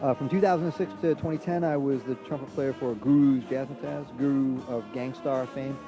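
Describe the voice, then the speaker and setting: A man from Trinidad chatting casually as a musician being interviewed, using relaxed hip hop slang. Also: medium pitch, fairly quick, poor audio. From Trinidad, from San Fernando. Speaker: jazz trumpet player, interview guest. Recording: loud music in the background.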